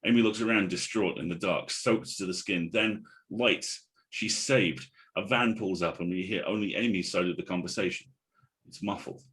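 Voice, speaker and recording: A man's voice: deep voice, normal speed, a bit bright, low-quality recording. A man reading scene directions as the narrator of a drama script. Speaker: narrator at a table read.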